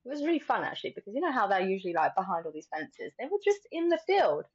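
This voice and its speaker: A woman's voice: medium pitch, sing-song, fast talker, slightly rough, dry recording, highs cut off. A woman hosting a casual podcast conversation, speaking in an informal, reflective way. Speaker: podcast host.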